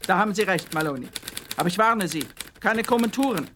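A bullying police officer in a radio crime comedy. He is gruff, impatient and insulting as he questions his suspect. Typewriter clatter and some noise sit behind the voice. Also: high voice, animated, brisk. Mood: warning, suspicious.

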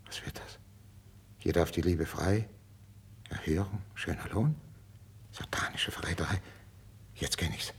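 An actor playing a proud, jealous older king in a classical radio drama, imperious and theatrical, swinging from hushed menace to outbursts. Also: low-pitched, expressive, normal speed. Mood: hushed, suspicious. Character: proud, jealous, volatile older Spanish king, tormented by suspicion. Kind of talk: 1950s German classical radio drama acting.

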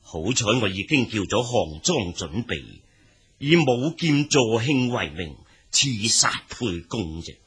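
A man's voice: lowish voice, sing-song, slow, fairly bright tone, husky, heavily acted. A man playing a ruthless, calculating old military adviser in a Chinese historical radio drama, speaking with theatrical emphasis. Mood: frustrated, plotting.

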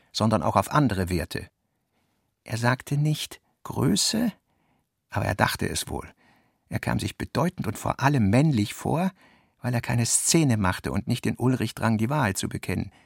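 Creaky-voiced man reading literary notes, dry sound. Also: fairly low pitch, dry recording. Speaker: reader in a radio play.

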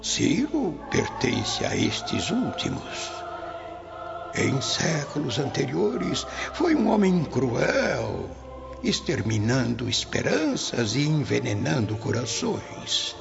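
An actor playing a tender, solemn old grandfather's spirit in a Brazilian radio drama, preaching consolation in measured phrases. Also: mid-range voice, huge pitch swings, vocal fry, full character voice. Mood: solemn, grave. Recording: dull lo-fi audio under music. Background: music.

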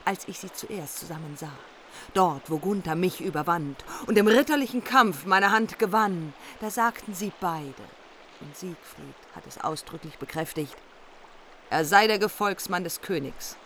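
A woman's voice: lowish voice, moderate pace, a bit bright, slight background noise, natural voice. An actress plays a proud warrior queen in a radio saga. She speaks formally, with a haughty manner and a firm sense of her own rank. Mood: proud, insistent. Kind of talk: radio play acting.

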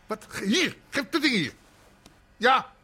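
A hot-tempered gangster boss in a radio play. His voice sits high and bright, swinging widely as he blusters and curses impatiently. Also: big pitch swings, unhurried, husky, full character voice. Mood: irritated. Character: gruff, hot-tempered Amsterdam underworld boss. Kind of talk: radio drama acting.

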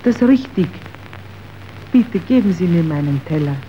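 An actress playing a hungry, stubborn poor worker's wife in a radio drama, with drawn-out, deliberate phrasing. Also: female voice, lowish voice, lively intonation, natural voice. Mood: guarded, hungry. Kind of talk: scripted radio drama acting. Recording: dull, faintly hissy old radio recording.

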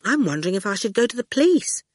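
A sensible young storybook woman, voiced by a female narrator, slightly raised in pitch. She talks firmly and sternly, close and dry. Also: sing-song, fast talker, a little mellow, no echo. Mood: wary warning. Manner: firm, no-nonsense.

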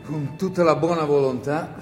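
Stage monologue: male actor as doubting, tired partisan, slightly high voice, reflective, echoing space. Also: steady tone, moderate pace, natural voice. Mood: weary musing. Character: weary, doubting Italian partisan alone in the hills. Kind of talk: theatrical monologue. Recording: reverberant hall with music behind.

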